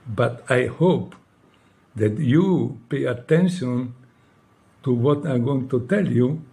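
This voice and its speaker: Man, Italian accent, non-native English. Halting, reproachful direct address. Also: mid-range voice, lively intonation, creaky, warm tone. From Italy. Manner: reproachful, pleading, halting English with many rhetorical "no?" tags. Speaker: former devotee addressing a leader. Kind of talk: direct personal appeal to camera.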